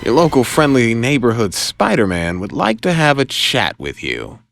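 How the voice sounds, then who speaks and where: Young male superhero, voiced by a man: cocky, quippy, pitched up, bouncing inflection, audio drama with faint music. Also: sing-song, normal speed, gravelly, a bit bright, no echo. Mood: wry, cocky. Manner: quippy, exclaiming.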